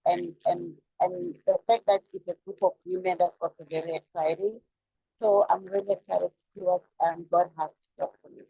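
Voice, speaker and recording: A woman's voice: on the low side, steady tone, normal speed, gravelly, warm tone, husky, no room sound, highs cut off. A woman in her fifties, from South Africa, a nurse introducing herself on a video call in a friendly, hesitant way. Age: 50s. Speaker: nurse, participant.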